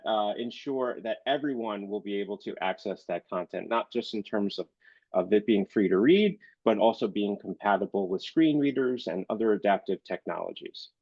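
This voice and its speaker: A man's voice: fairly low pitch, a little mellow, no echo. A man giving a library presentation while congested from illness, hesitant with many fillers. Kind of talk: online presentation. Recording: dull, dry video-call audio.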